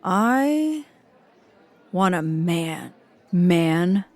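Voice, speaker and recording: An actress playing Mackenzie, a surly female agent who talks bluntly and deadpan in an audio comedy. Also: lowish voice, drawn-out words, no room sound, exaggerated voice.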